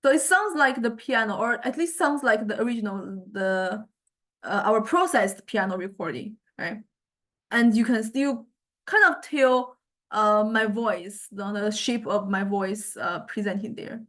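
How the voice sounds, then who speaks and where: A woman lecturer giving an informal software demonstration, thinking aloud in a halting way as she goes. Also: mid-range voice. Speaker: music lecturer.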